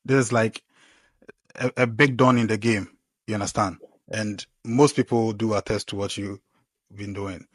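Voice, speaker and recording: A man hosting a casual podcast, relaxed, trailing off quietly and filling with okays and ums. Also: on the low side, moderate pace, no room sound. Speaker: podcast host.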